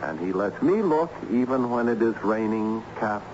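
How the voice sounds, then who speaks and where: An actor playing a harried, ironic assistant principal in an old-time radio drama, theatrical and patiently explanatory. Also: male voice, lowish voice, animated, normal speed, darkish tone, natural voice. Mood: enthused, explanatory. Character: harried, excitable male school assistant principal with ironic humour. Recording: dull, noisy old radio recording.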